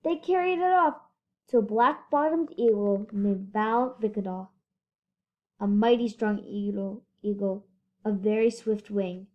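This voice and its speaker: A woman's voice: medium pitch, unhurried, dull audio. A female reader narrating a children's storybook aloud.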